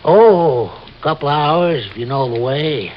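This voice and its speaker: Rustic local helper, highish voice, folksy, hesitant, cautious, radio drama, dull old recording. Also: male voice, expressive, measured pace, a little hiss or hum.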